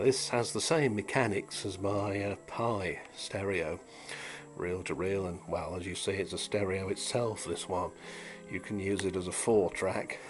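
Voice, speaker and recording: A middle-aged British man chatting casually as a hobbyist demonstrator, rambling in a dry, wry, musing way. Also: on the low side. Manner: wry, dryly humorous, musing. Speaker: vintage audio hobbyist and repairer presenting.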